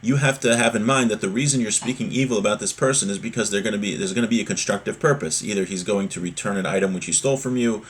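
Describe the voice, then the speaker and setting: A man with a slightly husky voice giving a religious text lesson, reading aloud and explaining, repeating and rephrasing points for emphasis. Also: lowish voice, fast talker, few pauses. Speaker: religious teacher giving a class.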